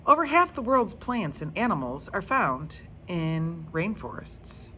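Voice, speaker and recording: A woman's voice: lowish voice, big pitch swings, unhurried, sharp tone, slight background noise, heavily acted. Woman reading as an earnest, emphatic male schoolteacher. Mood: earnest, instructive. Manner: instructive, emphatic. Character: earnest male schoolteacher addressing his class, encouraging. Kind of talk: children's storybook read-aloud.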